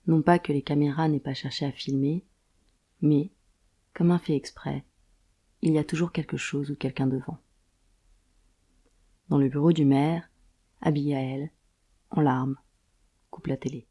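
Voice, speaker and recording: A woman narrating a scripted audio drama in a deliberate, wry storytelling manner. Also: sits low, fairly even intonation, warm tone.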